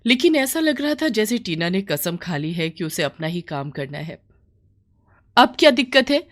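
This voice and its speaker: A woman's voice: on the low side, normal speed. A woman storyteller reading a scripted moral bedtime story.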